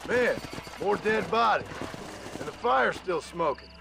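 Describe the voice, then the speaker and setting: A man's voice: sits high, animated, measured pace, gravelly, full character voice. A man playing a hardened gunslinger in a game, terse and wry but polite. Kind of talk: dramatic game cutscene acting.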